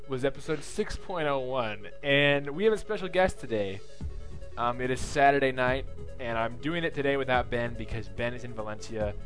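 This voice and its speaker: A young man hosting a casual podcast, teasing his guest in a playful way with lots of fillers. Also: mid-range voice. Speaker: podcast host. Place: student studio or room.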